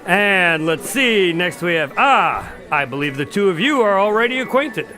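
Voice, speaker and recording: A man's voice: high-pitched, animated, moderate pace, bright, forceful tone, soft background music. A man acting a genial, courteous doctor hosting a party in a radio drama, polite and a bit fussy.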